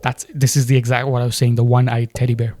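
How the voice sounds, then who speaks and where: A man's voice: mid-range voice, level tone, quick pace, darkish tone. A man from Delhi with an Indian English accent and Hindi as his first language, thinking aloud and questioning as he reacts to a film trailer.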